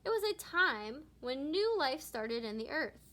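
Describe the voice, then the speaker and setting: A woman voicing a kindly male bear preacher from a storybook, explaining things in a measured, earnest way. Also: sits high, sing-song, fairly bright tone, exaggerated voice. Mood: patient explaining.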